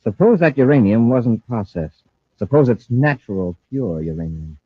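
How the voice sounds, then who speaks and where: An actor playing a calm, commanding space patrol commander in an old-time radio serial, deliberate and authoritative in how he explains things. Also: medium pitch, husky, no echo. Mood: explaining. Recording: muffled, noisy old radio recording.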